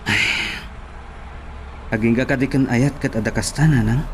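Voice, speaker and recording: A man's voice: medium pitch, fairly even intonation, normal speed, a little hiss or hum, natural voice. A man playing a devoted, defiant young policeman son in an audio drama, earnest and pleading. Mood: hurt, questioning.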